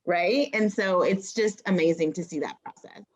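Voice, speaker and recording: A woman's voice: lowish voice, normal speed. A woman teacher speaking animatedly on a panel discussion. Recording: dull lo-fi sound.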